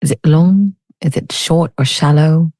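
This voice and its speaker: A woman leading a guided meditation as a teacher, speaking in a soothing, unhurried, gently inviting way. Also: low-pitched, no echo.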